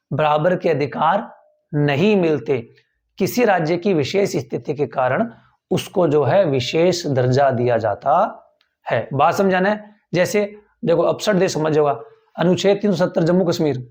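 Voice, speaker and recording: A man's voice: on the high side, moderate pace, a little mellow. A male teacher giving a tenth-grade civics lecture, repeating key points and asking the class rhetorical questions.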